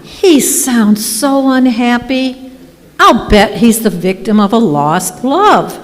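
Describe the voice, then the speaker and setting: A woman's voice: on the high side, animated, slow. A woman playing an earnest, romantic, melodramatic daughter in an old-time radio drama on stage. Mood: wistful, thrilled. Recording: echoey hall stage recording.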